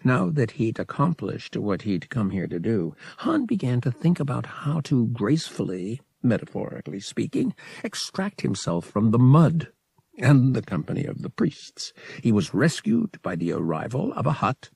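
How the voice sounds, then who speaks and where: A man's voice: mid-range voice, very animated, normal speed, vocal fry, a little mellow, breathy edge, no room sound. A man narrating a fiction audiobook as a scripted, dramatic story reading.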